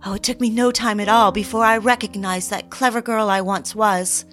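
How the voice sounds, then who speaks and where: An actress playing a fragile, eager young mid-century housewife in an audio drama, confiding in a theatrical, genteel way. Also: female voice, medium pitch, steady tone, normal speed, a bit bright, faint music behind, lightly acted. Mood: excited. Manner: theatrical, confiding, genteel, old-fashioned phrasing. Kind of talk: dramatic scripted audio drama narration and dialogue.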